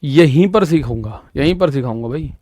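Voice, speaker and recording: A young man in his twenties teaches an exam-prep maths class in a high, lifted voice. He talks directly to his students, repeats his key points and works through everyday examples. The sound is dry, with no room echo. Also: lively intonation, moderate pace, mellow. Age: 20s. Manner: repetitive, addresses students directly with "ठीक है" and "भाई".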